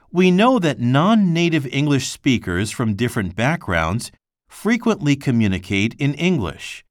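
A man reading a textbook passage aloud for a language lesson, slow and careful, with clear pauses between phrases. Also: medium pitch, dry recording, clean studio sound. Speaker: textbook reader.

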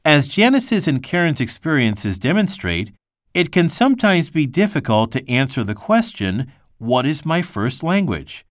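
A man reads a textbook lesson aloud in a measured, even delivery. His pitch moves in a lively way. The sound is dry and close. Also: dry recording. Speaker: textbook reader. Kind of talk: scripted reading.

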